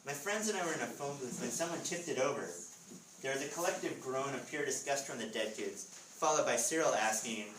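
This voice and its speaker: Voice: mid-range voice, fairly quick, a bit bright, a little hiss or hum. Story narrator for live readers theatre, roomy sound, distant from the mic. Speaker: author reading own book as narrator. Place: live author visit, indoor room.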